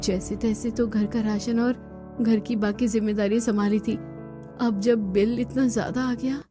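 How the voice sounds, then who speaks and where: A woman voicing a melodramatic, expressive woman in a Hindi family cartoon, speaking in a theatrical, exclamatory way. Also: a little high, unhurried, music in the background, close to an everyday voice. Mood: worried. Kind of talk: Hindi cartoon drama dubbing.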